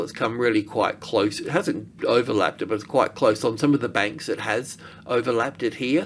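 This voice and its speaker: A man giving informal technical commentary on charts as an analyst, thinking aloud in a halting way. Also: medium pitch, slight background noise. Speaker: market analyst presenter.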